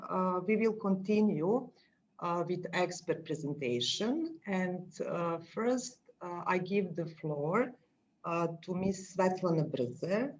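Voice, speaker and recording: A slow-spoken woman moderates an online event, hesitating between short phrases. Her voice is slightly low and comes through dull, lo-fi call audio. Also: expressive, a little hiss or hum. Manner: halting, hesitant. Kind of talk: introducing a speaker at an online event.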